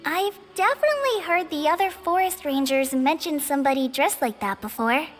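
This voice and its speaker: A young forest ranger girl for a video game. Her voice is high and hesitant, with lively intonation and music behind. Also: normal speed. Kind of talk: video game cutscene acting.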